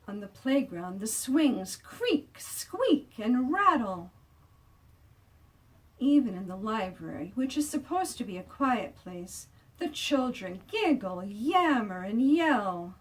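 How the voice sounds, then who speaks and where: Female author for picture-book read-aloud: slow, deliberate, expressive, stretches key words, recorded slightly off mic. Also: on the high side, far from the mic, low-quality recording. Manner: deliberate, drawing out sound words for emphasis. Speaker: children's author reading own book aloud.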